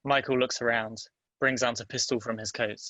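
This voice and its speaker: A man reading stage directions as scripted dramatic narration, in a measured, terse and deliberate way. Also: mid-range voice, steady tone, sharp tone, dry recording, muffled recording.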